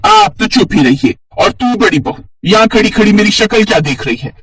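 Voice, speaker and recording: Man as a scolding mother-in-law, bossy, old-fashioned, husky voice, family cartoon story. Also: high voice, sing-song, moderate pace, distant mic, exaggerated voice. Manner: sharp, nagging scolding.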